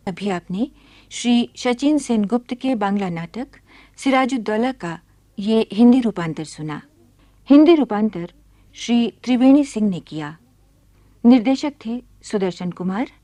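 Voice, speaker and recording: A woman announcing on radio in a formal, scripted style, with measured and clearly enunciated reading. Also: on the high side, warm tone, dry recording.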